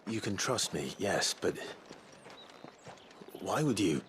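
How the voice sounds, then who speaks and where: Very deep, guarded warrior. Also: male voice, big pitch swings, slow, fairly bright tone, slight background noise, exaggerated voice. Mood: wary. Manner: terse, brooding, wary. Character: gruff, guarded branded man, a wary outlaw warrior. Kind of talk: video game cutscene acting. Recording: music clearly behind the voice.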